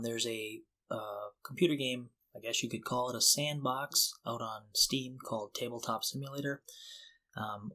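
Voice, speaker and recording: A man co-hosting a podcast, talking casually and explaining things in an informal, halting way. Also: mid-range voice, bright, forceful tone, rough recording. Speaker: podcast co-host.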